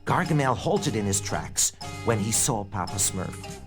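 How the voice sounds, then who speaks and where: A man narrating a scripted children's read-along story in an expressive, dramatic storytelling manner. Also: fairly low pitch, a little slow. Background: background music.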